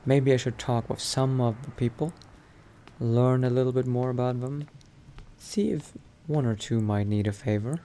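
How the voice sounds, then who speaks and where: A man's voice: mid-range voice, steady tone, moderate pace, mellow, slight background noise, close to an everyday voice. A man playing a cautious vampire adventurer in an improvised game roleplay, musing hesitantly to himself. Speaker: roleplay let's-play player. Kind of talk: in-character improvised roleplay monologue.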